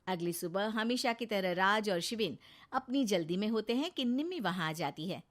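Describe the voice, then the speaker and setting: Lively woman storyteller. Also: medium pitch, normal speed. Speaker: narrator. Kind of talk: storybook narration.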